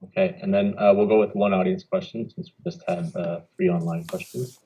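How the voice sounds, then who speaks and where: A man's voice: deep voice, fairly even intonation, brisk, mellow, husky. A man moderating a student debate, reading questions in brief, procedural turns. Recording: dull lo-fi.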